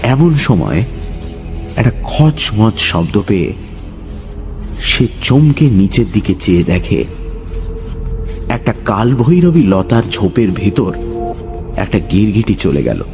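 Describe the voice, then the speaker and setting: Slow, low-pitched man narrating suspense. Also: sing-song. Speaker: story narrator. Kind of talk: dramatic scripted horror-story narration. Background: background music.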